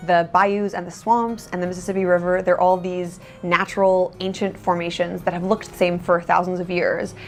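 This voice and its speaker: A woman journalist from Louisiana who describes her home state in a calm, reflective way. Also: fairly low pitch, normal speed, few pauses. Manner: calm, evocative. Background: faint music.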